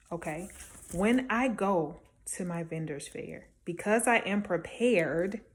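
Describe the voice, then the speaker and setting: A woman's voice: medium pitch, darkish tone. A woman talking casually and encouragingly as a small-business vendor giving advice, emphatic and conversational, often checking in with the listener. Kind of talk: casual motivational advice talk to camera.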